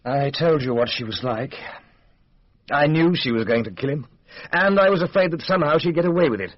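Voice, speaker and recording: An anxious, embittered young man speaks in a fairly high voice. The radio drama sound is dry and muffled. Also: expressive, fairly quick, dry recording. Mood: agitated, bitter.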